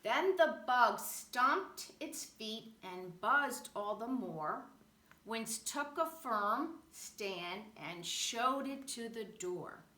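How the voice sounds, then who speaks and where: A woman reads a children's rhyming picture book with animated, rhythmic expression. Her voice is bright and on the high side, and she takes her time over each phrase. The room adds noticeable echo. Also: drawn-out words. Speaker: storybook reader. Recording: roomy echo, faint background noise.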